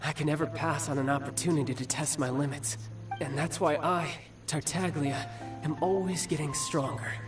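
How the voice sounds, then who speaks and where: An actor playing an injured, cocky young warrior who teases smoothly and speaks fondly of family. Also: male voice, on the high side, fairly even intonation, normal speed, few pauses, lightly acted. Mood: wry, weary. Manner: smooth, playful, teasing. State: weakened, injured. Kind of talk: video game cutscene voice acting. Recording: slightly distant, music behind.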